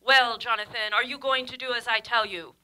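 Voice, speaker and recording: Actress as bossy, high-voiced, scolding wife. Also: female voice, fairly even intonation, a little fast, pushed and bright, clean tone. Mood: imperious, indignant. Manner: imperious, insistent. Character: bossy, scolding married woman. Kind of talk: old-time radio comedy acting.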